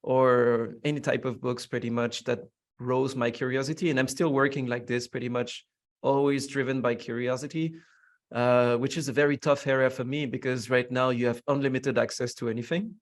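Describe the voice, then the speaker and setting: A man's voice: medium pitch, steady tone, moderate pace. A young French man guest in an interview, answering in a reflective way with many fillers. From France. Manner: reflective, curious, frequent fillers. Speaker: podcast guest.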